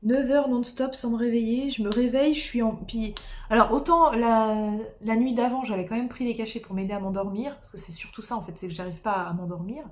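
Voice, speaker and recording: A woman's voice: on the high side, steady tone, brisk, a little hiss or hum. A woman with a cold and a sore throat, tired and losing her voice, chatting casually and rambling with viewers from home as she works on a craft. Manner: rambling, chatty, many fillers and restarts. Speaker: streamer doing diamond painting. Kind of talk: casual live-stream chat with viewers.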